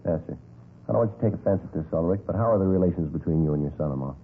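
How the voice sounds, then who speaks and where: Deadpan, courteous police detective, low and very fast, for an old radio crime drama. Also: male voice. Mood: businesslike. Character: polite, businesslike male police detective questioning calmly. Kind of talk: understated 1950s radio acting. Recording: tinny, noisy vintage radio recording.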